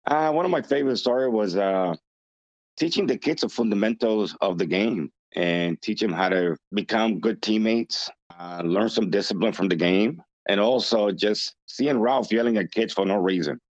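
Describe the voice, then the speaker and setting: Deep-voiced man in his fifties. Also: moderate pace, dry recording, dull audio. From Dominican Republic, raised in Massachusetts from age eight. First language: Spanish. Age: 50s. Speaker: interview guest. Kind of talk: conversational interview answers, personal reminiscence.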